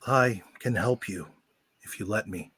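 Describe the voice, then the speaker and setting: Wise mentor for radio play male performer: gravel-husky, forceful, composed, reassuring. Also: fairly low pitch, unhurried, dry recording, full character voice. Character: composed, authoritative male professor offering help. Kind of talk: live audio drama read.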